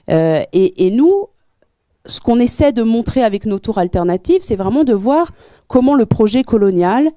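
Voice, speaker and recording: Woman, guest speaker walking through slides; warm low-toned voice, earnest, lots of hesitant restarts, dry close sound. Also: dry recording. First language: French. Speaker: guest speaker at a public debate. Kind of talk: explanatory lecture with slides.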